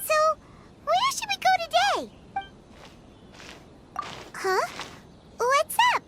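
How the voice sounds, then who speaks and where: A woman voicing a small, chatty, easily indignant childlike fairy companion in an animated video game. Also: very high-pitched, extremely slow, a little hiss or hum, heavily acted. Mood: chatty, startled.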